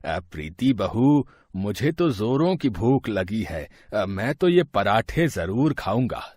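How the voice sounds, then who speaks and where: Older father-in-law, affectionate, easygoing, husky, dry close sound, storybook drama. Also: lowish voice, sing-song, slow pace, a little mellow, dry recording, exaggerated voice. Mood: affectionate, cheerful.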